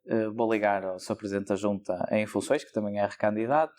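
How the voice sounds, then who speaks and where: A man's voice: on the low side, brisk, a little mellow. A young man with a European Portuguese accent, a local election candidate answering interview questions in a thoughtful, hesitant way with many fillers. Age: young adult.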